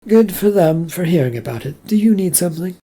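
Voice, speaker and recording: Reader voicing a guarded office woman, clipped replies, gravelly husky tone. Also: mid-range voice, animated, fairly quick, mellow, voice pushed up. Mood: curt.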